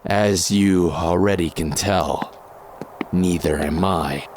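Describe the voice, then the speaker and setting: Man playing a deep-voiced, coolly menacing mercenary. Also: steady tone, heavy background noise. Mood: cool, assured. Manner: measured, unhurried, coolly threatening. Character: calm masked mercenary man, cool and menacing. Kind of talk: audio drama acting.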